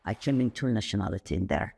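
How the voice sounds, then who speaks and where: A woman who grew up in Saudi Arabia, a podcast guest telling stories in an animated, rambling way with sudden jumps up in pitch. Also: fast talker, gravelly, husky. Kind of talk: conversational interview.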